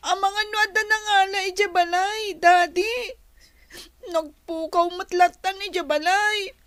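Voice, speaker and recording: A woman acting a whiny, scheming schoolgirl who tattles to her father in a drawn-out, plaintive way, in a radio comedy drama. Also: very high voice, steady tone, fairly bright tone, dry recording. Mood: plaintive, complaining.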